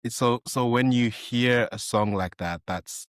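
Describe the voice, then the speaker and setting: A man from Zimbabwe co-hosting a podcast, earnest and halting, often repeating his words. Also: medium pitch, clear, resonant tone, no echo, studio quality. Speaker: podcast co-host. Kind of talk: podcast interview, casual chat.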